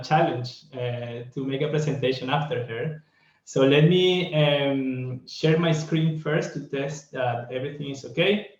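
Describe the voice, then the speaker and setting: A man from Venezuela giving a reflective online conference talk over a video call, pausing often with fillers. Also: mid-range voice, roomy sound, muffled recording. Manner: reflective, hesitant with many fillers. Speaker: guest speaker.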